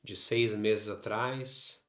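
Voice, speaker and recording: A man with a Brazilian Portuguese accent leading a guided meditation, instructing in short phrases separated by long pauses. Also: lowish voice, steady tone, fairly bright tone. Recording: roomy, echoing sound.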